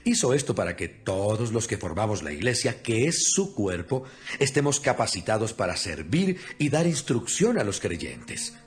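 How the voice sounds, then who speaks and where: A man's voice: on the low side, very animated, moderate pace. A man giving an earnest, instructive dramatized reading of scripture as an audio Bible narrator. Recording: music behind the voice. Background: background music.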